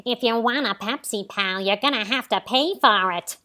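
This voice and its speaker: Woman putting on a gruff, grumpy diner counterman, shift-down voice. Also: a little high, normal speed, sharp tone, pitched below the performer's own voice. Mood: gruff, impatient. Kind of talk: comedic impression at a table read.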